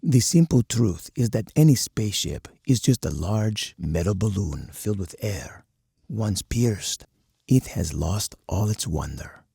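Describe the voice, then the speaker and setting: Hawkish game colonel: low, gravel-throated and husky, stern, measured, uncompromising strategist. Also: male voice, expressive, warm tone, no room sound, studio quality, heavily acted. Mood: instructive. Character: uncompromising hawkish male army colonel, grim military strategist. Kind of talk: scripted game voice lines.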